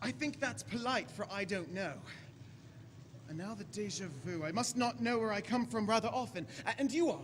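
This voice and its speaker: Eccentric alien adventurer male actor, theatrical and playful, very lively high voice, sci-fi stage drama. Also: normal speed, slight background noise. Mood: musing, playful. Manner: theatrical, jaunty, whimsical. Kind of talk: staged science-fiction radio play.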